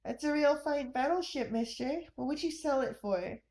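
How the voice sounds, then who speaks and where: Woman playing a cheeky high-voiced young boy. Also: lively intonation, normal speed, a little mellow. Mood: curious. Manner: brash, folksy, playful.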